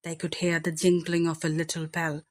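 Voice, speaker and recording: A woman reading a children's bedtime story aloud with deliberate, expressive phrasing. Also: deep voice.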